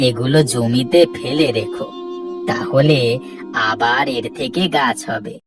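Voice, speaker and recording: A woman voicing a calm, generous male farmer with a slow, steady way of advising. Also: a bass voice. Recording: distant voice with cartoon music behind.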